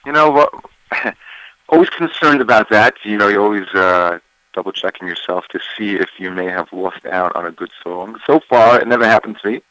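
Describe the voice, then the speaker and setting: Brief: male singer as interview guest, low deep voice, bright tone, relaxed and halting. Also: dry recording, rough recording. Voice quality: deeper voice. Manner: relaxed, many fillers and restarts. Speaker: singer interviewed about his album. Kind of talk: casual interview chat.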